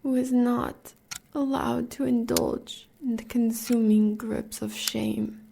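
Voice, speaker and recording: Woman as a devoted fierce huntress, American accent, audio roleplay, heavy vocal fry, even intonation, formal and intense. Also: on the high side, slight background noise, exaggerated voice. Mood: fervent, devoted. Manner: measured, intense, formal dramatic speech. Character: devoted, fierce huntress lover of a werewolf villain.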